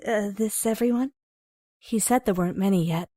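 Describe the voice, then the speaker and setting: A woman's voice: medium pitch, moderate pace, dark tone, dry recording, lightly acted. A young woman playing a nervous, hesitant teenage newcomer in an audio drama. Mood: nervous, polite. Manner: hesitant, reflective. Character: nervous teenage girl newly arrived at a mutant school.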